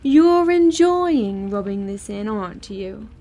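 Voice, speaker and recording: A woman playing a sharp, discontented wife in an audio drama, snippy, sarcastic and imperious in manner. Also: medium pitch, expressive, takes it slowly. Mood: needling, irritated.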